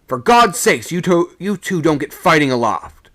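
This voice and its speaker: A man voicing a desperate sailor who bellows orders and pleas in the dark. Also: medium pitch, big pitch swings, a little slow, gravelly. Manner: bellowing, urgent.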